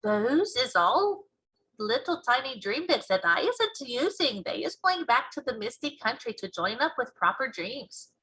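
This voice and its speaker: Woman reading as a folksy, excitable, husky giant. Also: fairly high pitch, a little slow, heavily acted, low-quality recording. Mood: matter-of-fact, assured. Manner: folksy broken grammar with invented words. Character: excitable, muttering big friendly giant, male, dream-mixer. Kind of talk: storybook read-aloud character voice.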